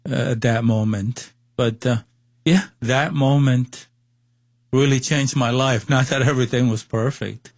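A man's voice: medium pitch, measured pace, dry recording, clean studio sound. A man in his sixties from Cuba with a Cuban Spanish accent, a guest giving his personal testimony in an interview, hesitant and full of fillers and restarts.

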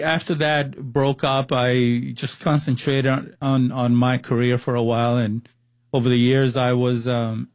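Slow-talking Cuban-accented man in his sixties. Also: mid-range voice, dry recording. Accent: Cuban Spanish. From Cuba. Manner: hesitant, many fillers and restarts. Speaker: guest. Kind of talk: personal testimony interview.

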